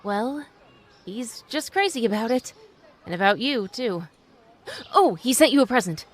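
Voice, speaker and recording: An actress playing a gentle, guarded young mother, proper and hesitant, in an old-time radio drama. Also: on the high side, expressive, a little slow. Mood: hesitant, warm.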